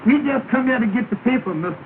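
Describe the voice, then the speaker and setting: Nervous naive sidekick in a 1930s movie comedy, really high voice, folksy talk, tinny and noisy old film sound. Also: male voice, lively intonation, fast talker. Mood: meek, scared. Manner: folksy nonstandard grammar, plaintive.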